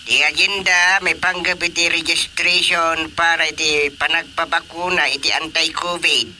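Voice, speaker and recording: Bright-voiced Ilocano village elder. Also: male voice, a little high, level tone, normal speed, slight background noise, poor audio. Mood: instructing. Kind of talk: Ilocano radio drama dialogue.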